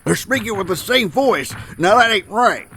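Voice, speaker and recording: An actor playing a gruff, defiant older general with a blustering, folksy manner in a sci-fi audio drama. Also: male voice, high-pitched, expressive, moderate pace, fairly bright tone. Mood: defiant, shouting. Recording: music behind the voice.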